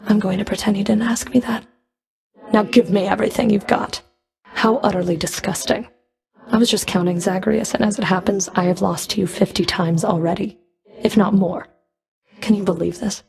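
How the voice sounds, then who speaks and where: A woman's voice: medium pitch, creaky, a little mellow, natural voice. A woman playing a stern, scornful underworld fury in a video game, with a cold, sardonic, taunting delivery. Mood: stern, exasperated, then weary, sardonic. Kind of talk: scripted video game character dialogue.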